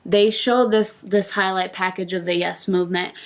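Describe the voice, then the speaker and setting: A woman co-hosting a casual wrestling chat show from a couch, gushing and excitable as she talks. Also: mid-range voice. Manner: excitable, gushing, fast bursts. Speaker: podcast co-host. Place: indoors on a couch.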